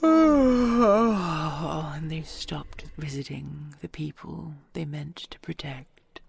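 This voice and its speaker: A woman voicing an ancient dragon queen in an audio roleplay, tender and regal, speaking in a husky, breathy half-whisper with stately, intimate phrasing. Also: sits low, lively intonation, exaggerated voice. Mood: wistful, regretful. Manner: stately, measured, formal and intimate endearments.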